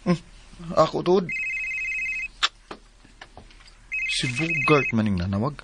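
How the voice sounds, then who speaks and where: A man's voice: fairly high pitch, animated, moderate pace, very noisy. A man with a Cebuano Filipino accent acting an easygoing, offhand young son in a radio drama. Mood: mildly annoyed.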